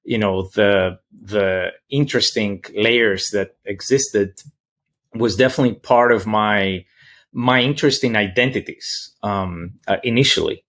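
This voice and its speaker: A man from Greece with a Greek accent answers interview questions as a professor, thoughtful and halting as he searches for words. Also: on the low side, fairly bright tone. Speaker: interview guest, political science professor.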